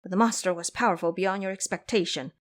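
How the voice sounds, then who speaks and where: A woman's voice: lowish voice, quick pace, lightly acted. A woman voicing an earnest, pleading young male dragon in horse form for an audio drama. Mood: reproachful. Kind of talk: audio drama character acting.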